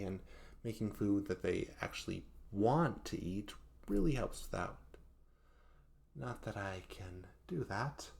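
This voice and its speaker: A male performer voices a hapless young man in a comedic audio roleplay, speaking straight to his best friend. His voice sits low with a gravelly fry at the edges. He rambles and stammers, flustered and self-deprecating, like a well-meaning student owning up to failure. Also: exaggerated voice. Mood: rueful, self-deprecating. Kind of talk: comedic audio roleplay monologue addressed to the listener.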